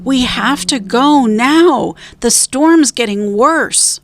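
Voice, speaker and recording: A woman's voice: high-pitched, lively intonation, slow pace, no echo, pitched above the performer's own voice, full character voice. A woman voicing a bold young girl who speaks urgently and directly.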